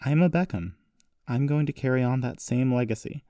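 A man's voice: medium pitch, moderate pace, dark tone, clean studio sound, voice pushed up, lightly acted. A man voicing an upset, defiant young woman who speaks emphatically in audiobook dialogue. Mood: earnest, pleading. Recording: roomy echo, distant mic.

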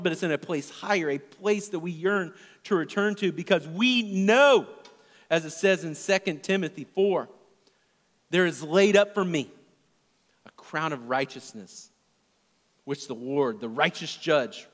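A male pastor preaching a sermon in a church, his delivery earnest and swinging from loud emphasis to hushed, near-whispered lines. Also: on the high side, moderate pace, long reverb tail.

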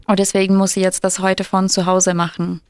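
A woman in her twenties hosting a casual podcast, explaining things in a measured way with many hesitations. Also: medium pitch, monotone, darkish tone, clear, resonant tone, dry recording, studio quality. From Ukraine. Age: 20s. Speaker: podcast host.

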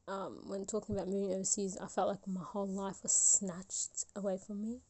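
A woman's voice: mid-range voice, little pitch movement, brisk, warm tone. A woman of about twenty hosting her own podcast, talking reflectively and rambling with many fillers and restarts. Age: 20s. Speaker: podcast host. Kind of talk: solo reflective podcast talk.

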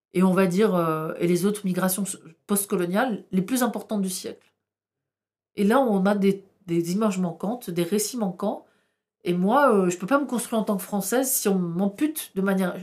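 A woman's voice: mid-range voice, darkish tone. A middle-aged woman born in France whose first language was Arabic, speaking as a historian guest on a podcast interview, impassioned, with rhetorical questions and frequent hesitations.

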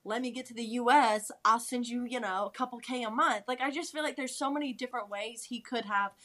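A woman's voice: on the high side, fairly quick, a bit bright. A woman co-hosting a podcast, recapping in a casual, slangy, emphatic way. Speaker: podcast co-host.

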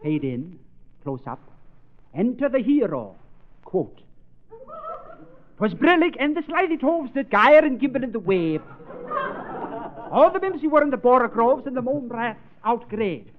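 A high-pitched, excitable absurd film producer. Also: male voice, a little slow, long pauses, exaggerated voice. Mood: grandiose. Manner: theatrical shushing, grand announcing, sudden barking orders. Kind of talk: 1930s radio comedy acting. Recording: dull noisy old radio recording before audience.

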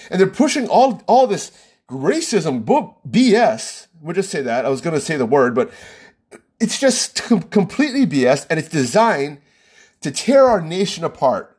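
An American man with a slightly husky voice holds forth on his own podcast. His pitch sits a bit high and swings widely as he presses his points. He keeps checking in with a rhetorical "right?". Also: a little mellow. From United States. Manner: emphatic, rhetorical, frequent "right?" asides. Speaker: podcast host. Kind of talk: opinionated solo podcast monologue.

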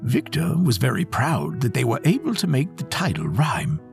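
A man narrating a scripted children's story in an expressive, dramatic way. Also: mid-range voice, moderate pace, vocal fry, over music.

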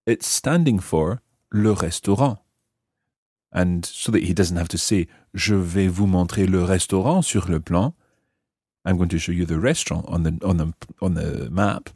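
A man hosting a language lesson, explaining example phrases piece by piece with careful, measured articulation. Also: low voice, darkish tone, slightly rough, dry recording, clean studio sound. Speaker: language teacher and host.

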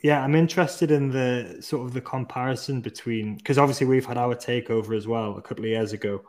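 A man from Australia hosting a casual podcast, with a middle pitch. He is chatty and walks through figures in an easygoing way. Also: a little fast. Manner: chatty, reading out stats. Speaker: podcast host.

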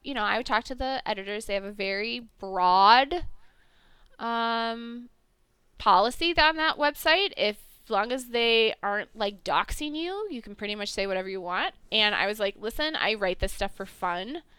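A woman's voice: on the high side, expressive, normal speed, bright, forceful tone, clear, resonant tone, no room sound. A woman co-hosting a casual podcast chat about TV shows, slightly nasal, rambling and self-correcting with frequent laughs. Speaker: podcast co-host and TV reviewer.

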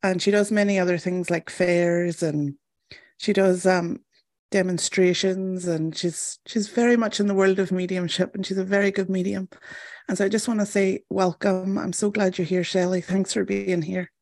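A woman hosting an interview, asking unhurried questions and reacting often with "wow" and "yeah". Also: mid-range voice, steady tone, darkish tone, no echo, clean studio sound. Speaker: podcast host. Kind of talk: interview chat.

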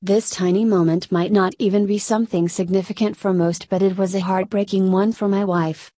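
A woman narrator reads a scripted feature story in a measured way. Her voice is mellow and relaxed, sitting at a middle pitch, and the sound is very dry with no room around it. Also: dry recording.

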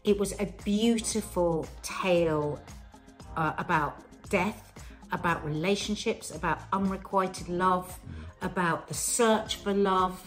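A middle-aged woman with a slightly low voice talks through a film she first disliked. Her telling is reflective and grows animated. Faint music sits under her voice. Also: slow pace, low-quality recording. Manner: reflective, animated storytelling with laughs. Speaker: film podcast co-host. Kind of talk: casual chat reviewing films.

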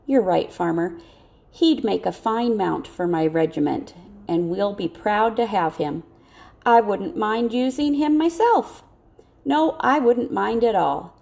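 A woman's voice: medium pitch, expressive, takes it slowly, creaky, darkish tone. Woman voicing a genteel, self-assured army officer. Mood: approving.

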